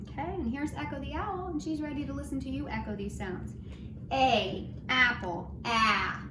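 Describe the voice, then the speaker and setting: Brief: encouraging woman teacher, a bit high, leading a kids' lesson; distant mic in an echoey room with background noise. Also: moderate pace. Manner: gentle, encouraging, singsong. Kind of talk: children's phonics lesson. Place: home. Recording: roomy, distant, noisy home recording.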